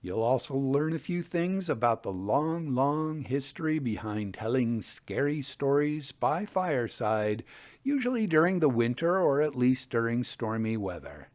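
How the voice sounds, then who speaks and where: A man reading Victorian ghost tales as a storytelling host, in a measured, deliberate and expressive way. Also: mid-range voice. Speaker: host and reader of ghost stories.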